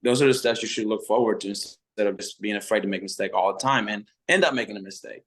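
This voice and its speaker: A man's voice: on the low side, fast, a bit bright. A man, a youth soccer coach, earnestly adding his view on a video call and repeating himself as he works out his point. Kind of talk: casual remarks on a video call.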